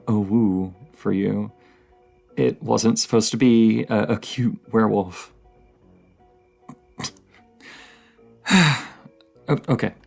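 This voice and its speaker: A man playing a dorky, playful partner in an intimate audio roleplay, rambling, giggly and teasing, with a slightly creaky voice. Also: lowish voice, a little mellow. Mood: sheepish, affectionate. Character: dorky, playful young romantic partner, horror film nerd.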